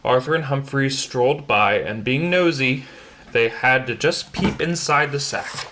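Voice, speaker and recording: A man's voice: medium pitch, measured pace, bright, forceful tone, slight background noise. A man reading a children's picture book aloud, stumbling now and then and adding casual comments on the pictures. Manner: stumbles over words and explains the pictures as he goes. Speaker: bedtime story reader.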